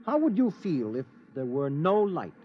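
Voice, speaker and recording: Persistent reporter on muffled radio. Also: male voice, on the high side, very animated, normal speed. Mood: probing. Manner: questioning, slightly hesitant. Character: probing, persistent male newspaper reporter. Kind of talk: old-time radio drama acting. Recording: dull, noisy old radio recording.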